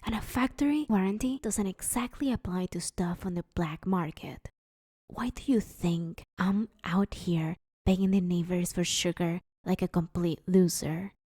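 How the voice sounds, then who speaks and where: A woman's voice: lowish voice, a little slow, dark tone, breathy edge, dry recording, studio quality. A woman playing a broke, sheepish but scheming villain in a conversational comedy audio roleplay, wheedling her neighbor in an awkward, hesitant way. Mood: defensive, aggrieved.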